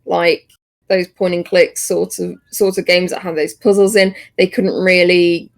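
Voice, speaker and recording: A woman co-hosting a podcast, chatting casually about games in a hesitant, halting way. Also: fairly low pitch, flat delivery, creaky. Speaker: podcast co-host.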